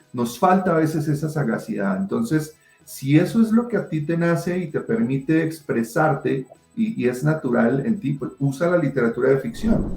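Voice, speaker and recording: A middle-aged writer answering interview questions passionately, with many restarts. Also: male voice, on the high side, vocal fry, darkish tone. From Colombia. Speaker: writer and guest. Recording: roomy echo.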